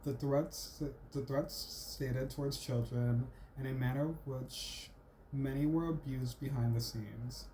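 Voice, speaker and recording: A man's voice: mid-range voice, fairly even intonation, slow, dark tone, slight background noise, low-quality recording. Casual man who says "like" a lot. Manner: filler-heavy, says "like" and "freaking" often, stumbles and restarts while reading. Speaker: channel host. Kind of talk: casual talk and reading aloud from a school assignment.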